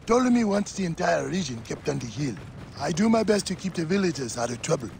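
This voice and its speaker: Lively, gravelly Egyptian villager. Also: male voice, fairly high pitch, quick pace, slight background noise. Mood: troubled. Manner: expressive, familiar. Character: loyal Egyptian villager, hearty old friend of the hero. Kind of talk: cinematic video game acting.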